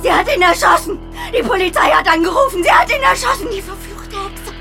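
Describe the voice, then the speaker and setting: A grief-crazed mother in a radio drama, shrieking extremely high with a cracked, creaking voice. She is vengeful and hysterical. Music plays behind her. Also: expressive, fairly quick, exaggerated voice. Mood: hysterical rage. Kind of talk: German radio drama acting.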